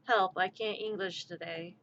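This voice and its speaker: A woman's voice: medium pitch, fairly even intonation, moderate pace, creaky, a bit bright. A woman streamer whose first language is American Sign Language, chatting casually, joking, rambling, and swearing freely. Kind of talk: casual live-stream chat. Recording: muffled lo-fi stream audio.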